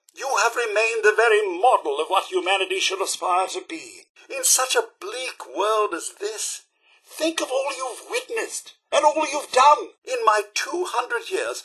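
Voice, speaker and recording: A man's voice: high voice, pushed and bright, husky, heavily acted, rough recording. A man voicing a fussy, devoted robot butler in a video game, with a British accent and a prim, courteous, theatrical delivery. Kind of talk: scripted video game companion dialogue.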